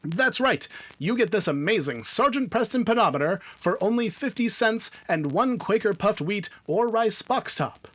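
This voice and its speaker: High-pitched 1950s radio pitchman, acted by a man. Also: expressive, normal speed, few pauses, a bit bright. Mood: eager, selling. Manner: enthusiastic, emphatic, clearly enunciated. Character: eager 1950s children's radio pitchman announcer. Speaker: radio announcer. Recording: dull, lo-fi radio sound.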